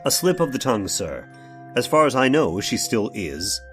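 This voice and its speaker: A man's voice: medium pitch, sing-song, moderate pace, a bit bright, faint music behind. A man playing a fussy, evasive, hesitant workshop owner recounting a crime in an old-time radio horror drama. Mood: prim, uneasy.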